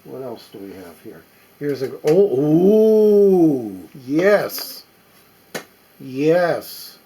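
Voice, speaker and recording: Husky-voiced man musing aloud. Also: a little high, big pitch swings, warm tone. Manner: halting, musing aloud as he inspects items. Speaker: record collector presenting finds. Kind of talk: casual unscripted show-and-tell commentary.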